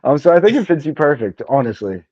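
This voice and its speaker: A man's voice: fairly high pitch, very animated, fast, a little mellow, dry recording, lo-fi sound. A male podcast host who chats enthusiastically and laughs often. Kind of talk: casual interview chat.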